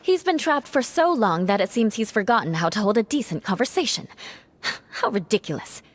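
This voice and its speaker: A woman's voice: medium pitch, sing-song, normal speed. A cold, scornful noblewoman. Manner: cool and scornful. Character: proud aloof young noblewoman, cold and sharp-tongued. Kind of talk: video game voice acting. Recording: game cutscene with background music.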